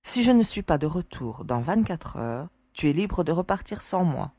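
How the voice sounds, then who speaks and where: A low-voiced, tough female space adventurer played by an actress in a sci-fi audio drama. She is curt and guarded, with a husky edge and a close, dry sound. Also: big pitch swings, moderate pace, dark tone, no room sound. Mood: firm.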